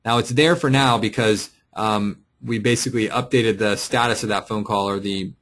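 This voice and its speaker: A man presenting a casual, unscripted software tutorial, explaining and thinking aloud as he clicks through. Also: lowish voice, moderate pace. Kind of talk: casual screen-share walkthrough, unscripted. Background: voice-over for a screen recording.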